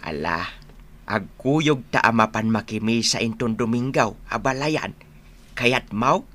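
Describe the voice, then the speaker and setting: Slow, bright-voiced jovial village father. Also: mid-range voice, expressive. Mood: coaxing. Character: jovial village father, chatting with his in-law. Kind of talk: radio comedy-drama acting.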